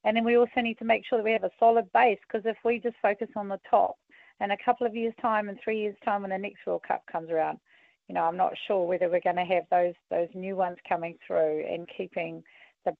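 A woman in her forties with a husky voice, a rugby union deputy chair giving relaxed, filler-heavy radio interview answers. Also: mid-range voice, brisk, no echo. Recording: tinny phone line.

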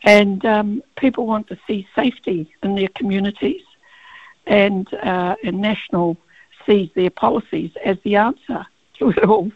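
Kiwi lady barrister, croaky gravelly voice, lots of ums, tinny phone line, dry. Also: medium pitch, dry recording. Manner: halting, many fillers and restarts. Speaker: guest barrister. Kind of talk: radio interview by phone.

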